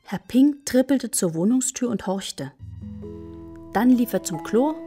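A woman playing the narrator of a children's radio play, measured and matter-of-fact. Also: a little high, animated, dark tone, soft background music. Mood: calm, measured. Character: calm female storyteller of a children's tale.

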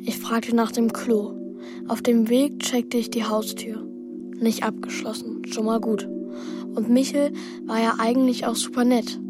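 A woman's voice: a little high, level tone, unhurried, music in the background, natural voice. A woman playing Bela, an anxious, thoughtful boy who tells his story in an earnest, hesitant way in a radio play. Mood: uneasy narrating. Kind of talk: radio play acting and first-person narration.

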